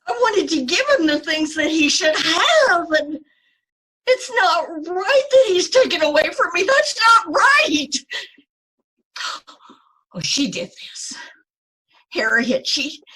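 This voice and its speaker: A woman in a radio drama playing a bitter, grieving grandmother who is widowed and alone, speaking in a halting, resentful way. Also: very high-pitched, very animated, bright, forceful tone, heavily acted, poor audio. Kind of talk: 1950s radio drama acting.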